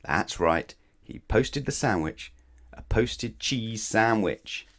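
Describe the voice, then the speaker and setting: A man reading a children's bedtime story aloud as narrator, expressively, with dramatic pauses and questions to the listener. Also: fairly low pitch, lively intonation, a bit bright.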